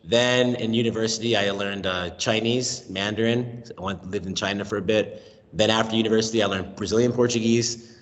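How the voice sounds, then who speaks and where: An American man in his thirties, a language teacher talking as an interview guest, lively and given to acting out voices in his stories. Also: fairly low pitch, a little fast, in a large hall. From United States. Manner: animated, acts out voices and accents in his stories. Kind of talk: casual interview talk. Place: remote call from Mexico.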